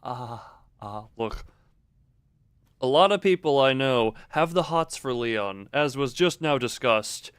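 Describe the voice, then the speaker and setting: A man's voice: mid-range voice, animated, slow pace, clear, resonant tone, dry recording. Man streaming game commentary, very dry sound. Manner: rambling, jokey, exclamatory asides. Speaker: live streamer playing a horror game. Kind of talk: casual live gameplay commentary.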